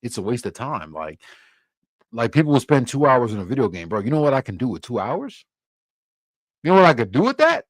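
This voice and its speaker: A man's voice: medium pitch, wildly swooping pitch, fairly quick, long pauses, dry recording. A young man from Cameroon hosting a casual livestream, answering viewer comments in a loose, easygoing way with many restarts. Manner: laid-back, loose, filler-heavy with frequent restarts. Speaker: livestream host.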